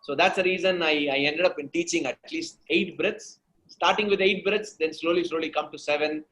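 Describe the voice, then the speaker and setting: Higher-pitched Indian-accented man, emphatic explainer. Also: fairly quick, fairly bright tone, rough recording. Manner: emphatic teaching, repeats phrases, checks with "okay" and "right". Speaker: guest speaker, storytelling coach. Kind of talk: conversational interview answers with anecdotes.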